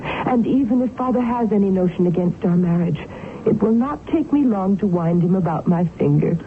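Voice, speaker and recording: A woman's voice: mid-range voice, animated, moderate pace, natural voice. A genteel young woman of an old-fashioned romance , played by a radio actress, speaks with formal tenderness and devotion. The sound is a thin, phone-like old broadcast with some noise behind it. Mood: fond, assured. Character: devoted, genteel young fiancée, a general's daughter. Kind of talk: period radio drama acting. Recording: noisy, tinny old radio broadcast recording.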